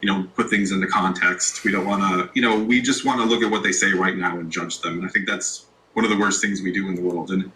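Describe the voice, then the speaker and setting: Bright-voiced man, relaxed and rambling. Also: low-pitched, fast talker, hardly any pauses, slight background noise, rough recording. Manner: relaxed, rambling, many restarts. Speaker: YouTube creator, guest. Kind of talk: casual interview chat.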